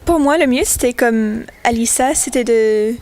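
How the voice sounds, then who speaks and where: A woman's voice: fairly high pitch, unhurried, a little mellow. A young woman from Scotland, a student speaking fluently and with enthusiasm. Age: young adult. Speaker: international student.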